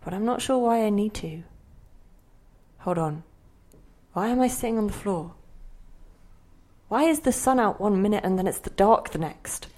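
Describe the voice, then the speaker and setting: An actress voices a grandmother's confused inner self in an audio play. She speaks at a middle pitch, fretful and questioning, with a bossy, insistent edge. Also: lively intonation, normal speed, long pauses, darkish tone, natural voice. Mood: puzzled, musing. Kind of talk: audio play monologue and dialogue.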